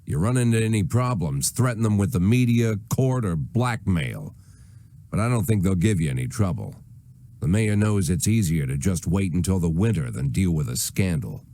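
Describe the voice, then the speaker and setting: An actor playing an aging, hard-bitten police chief in a video game, commanding, sardonic and threatening. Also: male voice, sits low, very animated, moderate pace, mellow, no room sound, studio quality. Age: older. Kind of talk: dramatic video game voice acting.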